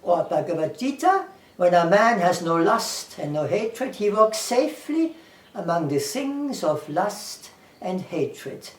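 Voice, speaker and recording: A man's voice: high voice, very animated, slow pace. A man with a German accent, speaking as an English teacher in a casual talk to camera, earnest, repeating himself and listing ideas one by one. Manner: earnest, repeats himself, lists things, quotes scripture. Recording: echoey room, far from the mic.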